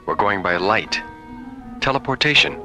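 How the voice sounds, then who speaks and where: An actor playing a brisk, earnest space captain in a radio science fiction drama, urgent and businesslike. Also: male voice, on the low side, lively intonation, music in the background, natural voice. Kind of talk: old-time radio science fiction acting.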